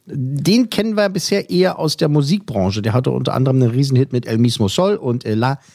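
Male radio host, gravelly and husky, dry studio mic, casual film chat. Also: medium pitch, animated, a little mellow, no room sound. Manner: brisk, chatty, many fillers.

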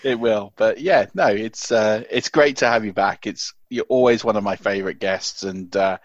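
A man co-hosting a casual fantasy sports chat, loud and chatty, laughing often and rambling a bit. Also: lowish voice, moderate pace, no echo. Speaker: podcast co-host. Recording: dull lo-fi dry sound.

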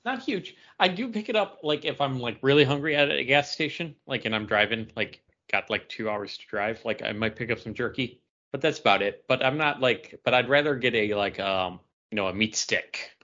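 A man co-hosting a podcast chats casually at a medium pitch. His phrasing is halting and hesitant. The recording is slightly dull and lo-fi. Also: pushed and bright. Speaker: podcast co-host. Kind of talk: casual chat.

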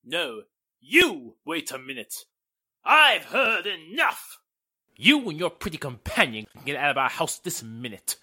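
An actor playing an indignant, blustering, protective gentleman in a pompous 1940s-style radio drama manner. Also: a little high, wildly swooping pitch, a little slow, slightly rough, no echo, clean studio sound, exaggerated voice.

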